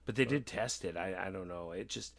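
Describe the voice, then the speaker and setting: Gravelly, low-voiced man chatting. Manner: halting, rambling, candid, swearing freely. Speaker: podcast host. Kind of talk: casual podcast chat about personal experience.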